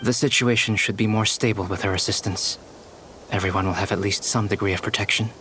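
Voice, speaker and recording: A man playing a calm, reassuring ally in a video game cutscene, speaking evenly over music. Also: lowish voice, a little fast, close to an everyday voice. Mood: steady. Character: steady, reassuring male ally. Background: background music.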